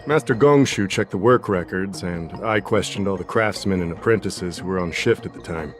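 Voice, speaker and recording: Low-voiced, shrewd male investigator played by an actor. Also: lightly acted. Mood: measured, reasoning. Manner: deliberate, logical, pointed questioning. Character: shrewd, insistent male investigator pressing an accusation. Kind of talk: video game voice acting. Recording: music behind the voice.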